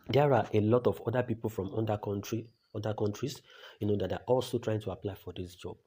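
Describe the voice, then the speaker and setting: Fast-talking low-voiced Nigerian man. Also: fairly even intonation, background noise. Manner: blunt, repetitive, lecturing. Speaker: online career coach. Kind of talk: talking-head tutorial.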